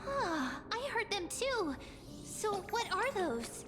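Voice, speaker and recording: A small, excitable video game companion. The voice is extremely high, squeaky and childlike, full of eager, naive questioning. Music is clearly heard behind it. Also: female voice, slow, exaggerated voice. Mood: curious. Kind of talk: video game story dialogue. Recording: background music under the voice.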